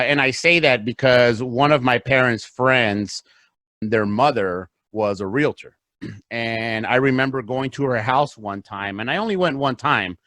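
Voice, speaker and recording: A man's voice: mid-range voice, a bit bright, no room sound. A man from South Los Angeles, a real estate investor and guest, earnestly telling his life story and repeating phrases for emphasis. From South Los Angeles, USA. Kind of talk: casual interview storytelling.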